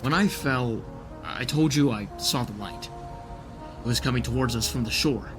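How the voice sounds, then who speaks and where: Man acting an insistent, earnest true believer, medium pitch, deliberate, audio drama, music behind. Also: natural voice. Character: earnest, insistent man convinced by a strange vision.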